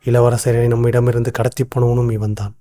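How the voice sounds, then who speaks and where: Cartoon royal minister, male actor, shrewd and formal; very dark, mellow tone, flat deliberate delivery, music underneath. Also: mid-range voice, lightly acted. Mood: grave, revealing. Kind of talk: animated moral story dialogue.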